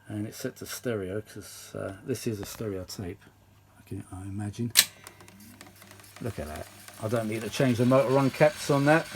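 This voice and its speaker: A man's voice: lowish voice, darkish tone. A British man rambling casually through a hands-on demonstration, often muttering asides to himself. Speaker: vintage audio hobbyist and video host.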